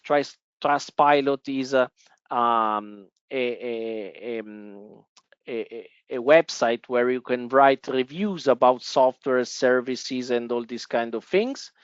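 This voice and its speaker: Slow Italian-accented man hosting a webinar. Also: on the high side, clear, resonant tone, no room sound, lo-fi sound. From Italy. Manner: halting, many fillers and restarts, addresses viewers as guys. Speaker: webinar host. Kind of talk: live webinar on stock investing. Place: office in the Italian part of Switzerland.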